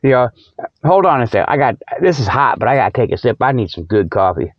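A man hosting a radio show in a casual, rambling monologue, folksy and halting as he repeats his words. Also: mid-range voice, sing-song, no echo, lo-fi sound.

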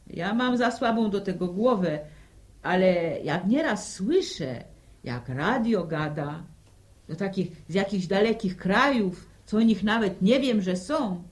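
A woman's voice: mid-range voice, lively intonation, unhurried, close to an everyday voice. An actress playing a plain-spoken, level-headed village mother in a radio drama, with a rural Polish accent. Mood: pragmatic, musing.

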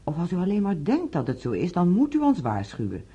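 A kindly, practical nurse in a radio play. Her voice is very breathy and mellow, rising and falling freely as she soothes and coaxes. The recording is dull and muffled. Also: on the low side, wildly swooping pitch, fairly quick, exaggerated voice. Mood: reassuring. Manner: soothing, coaxing, checking with little questions. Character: kindly, reassuring female hospital nurse, patient and practical. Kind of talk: naturalistic radio drama acting.

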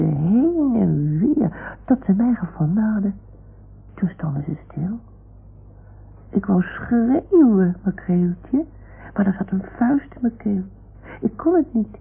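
A woman playing a small, timid toy dog who tells stories in a childlike, hushed way in a radio play. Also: mid-range voice, full character voice. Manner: childlike, breathy hushed storytelling. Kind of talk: 1960s-70s radio play acting. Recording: muffled, hissy old radio recording.

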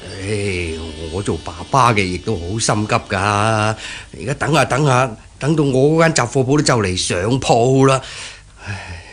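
A man's voice: on the low side, animated, unhurried, fairly bright tone. Flustered, stammering shopkeeper father. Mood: weary, anxious. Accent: Hong Kong Cantonese. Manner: stammering, repeats words, sighs. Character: anxious older shopkeeper father, flustered and kindly. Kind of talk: 1970s Cantonese radio drama acting.

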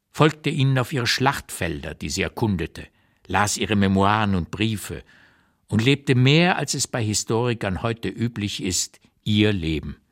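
An actor playing a blunt 85-year-old writer looking back on his life, reading memoir notes in a measured, deliberate, emphatic way for a literary radio play. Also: on the low side. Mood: reflective, candid.

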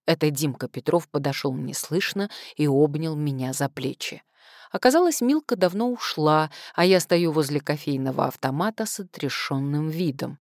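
A woman's voice: sits low, expressive, moderate pace, darkish tone, no echo, studio quality. A woman reading first-person fiction expressively as an audiobook narrator.

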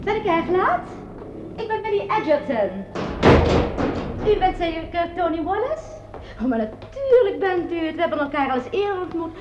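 An actress in a Dutch radio play as an effusive, dramatic theatre society woman with a husky, breathy voice. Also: very high voice, expressive, normal speed, muffled recording, music in the background. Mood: bubbly, flustered. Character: gushing society theatre woman with eerie mediumistic turns.

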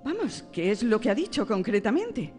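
Volatile mother, radio drama, very animated, fairly high voice, reverberant sound. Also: normal speed, faint music behind. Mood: worried. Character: exasperated, volatile mother of a young girl.

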